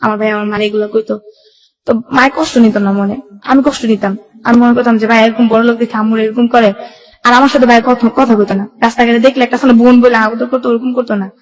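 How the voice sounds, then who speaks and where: A woman's voice: fairly high pitch, fairly even intonation, quick pace, poor audio. A young woman with a Bangladeshi Bengali accent tells her life story as a guest, in colloquial run-on sentences with reported dialogue. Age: young adult. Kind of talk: personal life-story narration.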